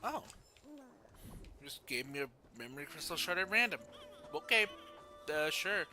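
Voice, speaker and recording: High-voiced man streaming a game. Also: lively intonation, measured pace, pushed and bright, faint music behind. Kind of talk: casual game livestream, reading story text aloud.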